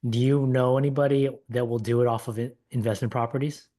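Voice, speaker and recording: A man hosting a podcast who asks his guest plain questions and fills the pauses with short replies. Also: mid-range voice, steady tone, warm tone. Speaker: podcast host. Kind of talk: casual podcast interview.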